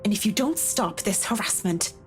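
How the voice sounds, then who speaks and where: A woman's voice: mid-range voice, animated, moderate pace, soft background music, lightly acted. Indignant, businesslike young woman voiced by a gamer. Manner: firm, clipped, defiant.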